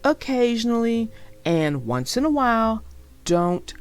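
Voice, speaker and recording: A woman's voice: on the high side, big pitch swings, unhurried, soft background music. A woman narrating a children's storybook as a read-aloud for young listeners.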